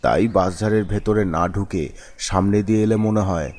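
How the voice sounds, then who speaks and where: A man playing a practical, steady villager in a cartoon ghost story, speaking in a measured, earnest way. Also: lowish voice, close to an everyday voice. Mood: advising, calm. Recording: background music under the voice.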